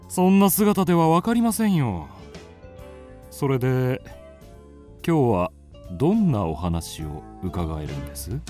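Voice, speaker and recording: A man playing a genial, relaxed writer in a radio drama, speaking softly and politely. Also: medium pitch, slow pace, long pauses, soft background music. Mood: amused, welcoming.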